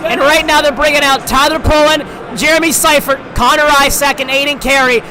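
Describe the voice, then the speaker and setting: A man's voice: extremely high pitch, steady tone, brisk, poor audio. A man giving emphatic hockey color commentary, hammering his points home by repeating them. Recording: loud crowd behind the voice.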